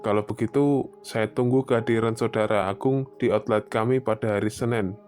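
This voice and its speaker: A man playing a formal, courteous human resources manager in a cartoon, measured and businesslike. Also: lowish voice, steady tone, a little mellow, soft background music, lightly acted. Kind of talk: animated story dialogue.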